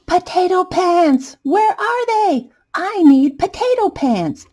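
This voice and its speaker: Woman as an excitable, high-flying melodramatic potato. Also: takes it slowly, exaggerated voice. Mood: frantic. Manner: wailing, exclaiming, melodramatic. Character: excitable, dramatic, panicky male potato.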